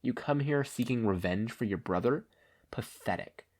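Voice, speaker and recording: A man's voice: medium pitch, animated, moderate pace, a little mellow, lightly acted. A man voicing a cold, menacing vigilante killer who speaks formally and with contempt in an audiobook. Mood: contemptuous menace.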